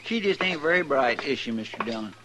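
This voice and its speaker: A man's voice: medium pitch, lively intonation, brisk, noisy background, natural voice. A man acting a chatty, homespun frontier deputy sidekick with a rural American drawl in a 1950s radio western. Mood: chatty, curious. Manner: homespun, garrulous, deferential.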